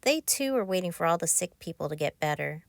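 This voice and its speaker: A woman narrating a children's picture book, reading aloud in simple, clear phrases for young listeners. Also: on the low side, expressive, brisk, no echo, studio quality. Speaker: author narrating her own children's book. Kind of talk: scripted children's storybook narration.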